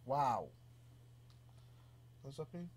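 A man, stuffy from a cold, casually commenting on his own gameplay with relaxed, easily amused remarks and mild swearing. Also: medium pitch, extremely slow, heavy background noise. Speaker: gaming channel host. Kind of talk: casual let's play commentary.

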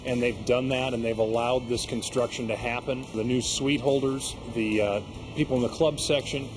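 A man who manages the construction, giving an enthusiastic interview soundbite with some restarts. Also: medium pitch. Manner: enthusiastic, with filler-laden restarts. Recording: interview clip with music underneath.

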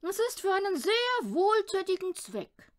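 Female reader as small pompous male conjurer, storybook; high-pitched, grandiose, drawn-out delivery, very animated. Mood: showy, boastful. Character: pompous, self-satisfied little male magician showman.

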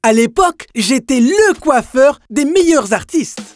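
Boastful, high-voiced cartoon hairdresser. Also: female voice, expressive, unhurried, music in the background. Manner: boastful, enthusiastic. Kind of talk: French animated series dubbing.

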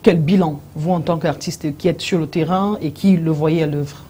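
A woman's voice: sits low, steady tone, normal speed, mellow, a little hiss or hum. A woman, putting formal questions as a television interviewer. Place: indoor TV studio.

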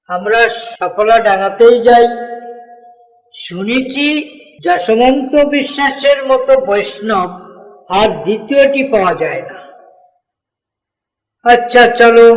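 Cartoon holy man played by a man: tender, reverent, unhurried, long reverb. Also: high-pitched. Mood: eager. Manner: exclamatory, reverent, affectionate. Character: devout, tender wandering male Vaishnav holy man. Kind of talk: devotional cartoon dialogue. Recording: long reverberant hall echo.

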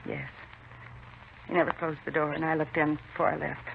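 Subdued middle-aged widow, 1950s radio crime drama; gravelly tone, faint hiss. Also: female voice, low voice, little pitch movement, brisk. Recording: muffled, hissy 1950s radio recording.

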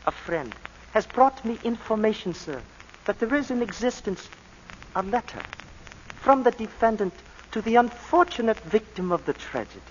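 An obsequious, sly clerk. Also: male voice, sits high, sing-song, slight background noise. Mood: insinuating. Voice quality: deferential, measured, sir-laden. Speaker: law clerk. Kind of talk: 1940s radio acting. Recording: muffled 1940s radio broadcast.

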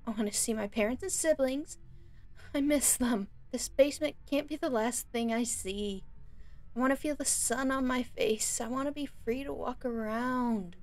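A woman playing a frightened but defiant kidnapped young captive in an audio roleplay, pleading in halting phrases. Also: sits high, natural voice. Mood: panicked pleading.

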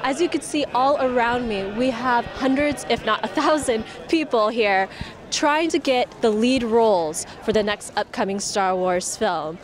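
A woman's voice: fairly high pitch, measured pace. Upbeat young woman reporting outdoors. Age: young adult. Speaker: student reporter. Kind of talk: on-location news report. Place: outdoors by a stadium queue.

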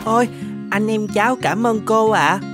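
A woman's voice: mid-range voice, moderate pace, over music, lightly acted. A woman voicing a caring, earnest orphan boy, a protective older brother in a cartoon, speaking tenderly and politely. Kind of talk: animated children's story dubbing.

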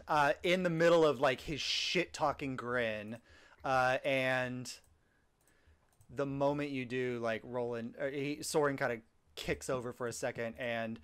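A man's voice: medium pitch, fairly bright tone, smooth. A man in his thirties narrating as dungeon master over a video call on a headset, talking in a rambling way with lots of fillers and restarts. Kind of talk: narrating a tabletop game. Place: small home room.

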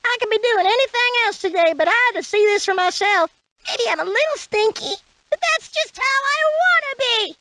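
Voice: extremely high pitch, animated, moderate pace, very noisy. An actor voicing a small, eager, cheerful cartoon rat in exaggerated lines for a video game. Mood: chipper.

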